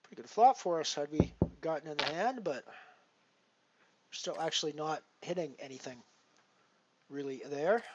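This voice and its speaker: A man's voice: on the high side, animated, moderate pace, pauses often. A man in his fifties with a Canadian accent from Alberta, casually commentating his poker stream and greeting viewers by name. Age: 50s. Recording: dull lo-fi stream audio with faint noise.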